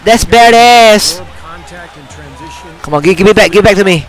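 A young man with a high, excitable voice yells, laughs and trash-talks his way through a basketball video game. He talks close into a headset mic in a small room, jumping between chatter and sudden shouts. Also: normal speed. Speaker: gamer. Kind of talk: excited gameplay commentary.

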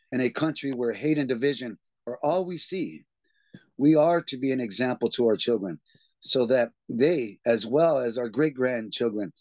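A man hosting a reading group, reading a text aloud in an earnest, steady way and then leading the discussion over a video call. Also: mid-range voice, no room sound. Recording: dull, dry lo-fi video call audio.

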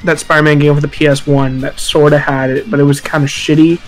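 A man's voice: fairly high pitch, level tone, quick pace. Rambling, sweary man over guitar music. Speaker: podcast panelist. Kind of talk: casual group discussion of video games. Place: online group voice chat.

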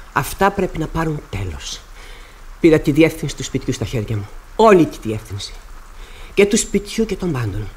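A middle-aged widow in a stage-like radio drama. Her voice moves widely in pitch. She is proud and anguished, and she speaks with formal, dramatic intensity. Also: a little mellow, husky. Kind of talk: Greek radio theatre acting.

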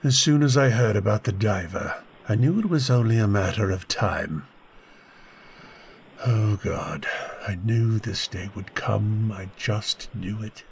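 A man playing a tormented, bitter, guilt-ridden husband with a husky voice, in old-time radio drama style. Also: lowish voice, unhurried, slight background noise. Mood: resigned, anguished. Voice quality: husky, gravelly.

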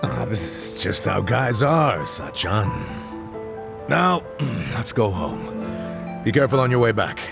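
Gruff, easygoing middle-aged male sidekick for a game, fry-heavy and animated. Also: on the low side, slow, full character voice. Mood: jovial. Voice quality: gravelly. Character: gruff, jovial middle-aged buddy, laid-back and confident. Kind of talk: video game voice acting. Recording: loud music behind the voice.

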